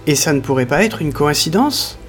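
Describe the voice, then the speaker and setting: Stern officer, firm clipped orders, lively delivery; a male narrator plays him. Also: a little high, moderate pace, faint music behind, pitched above the performer's own voice. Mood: measured. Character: commanding male lieutenant, stern and authoritative.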